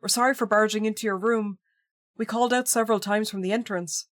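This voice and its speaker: A woman's voice: medium pitch, fairly even intonation, fast talker, no echo, clean studio sound, pitched above the performer's own voice, lightly acted. A woman voicing a nervous young man exploring a mansion, calling out politely and anxiously.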